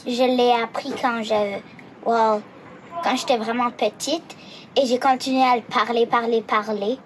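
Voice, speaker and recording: A woman's voice: fairly high pitch, fairly even intonation, measured pace, noisy background. A woman answering interview questions hesitantly and slipping in English words.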